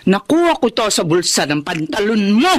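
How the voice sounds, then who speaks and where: A woman's voice: medium pitch, big pitch swings, normal speed, crowd noise, lightly acted. An actress in a Tagalog radio drama playing a stern, worried middle-aged mother with a Filipino accent. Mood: stern, suspicious. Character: stern, worried mother of grown and school-age children.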